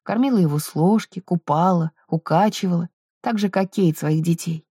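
A woman narrates a novel in a soft, mellow voice. The sound is dry, with very little room around it. Her delivery is scripted literary storytelling. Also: fairly low pitch, moderate pace, slightly rough, dry recording. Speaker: audiobook narrator.

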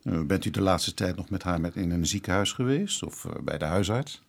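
A man playing a probing but considerate police inspector in a radio drama, husky and careful with words. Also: low-pitched, lively intonation, fairly quick, heavily acted.

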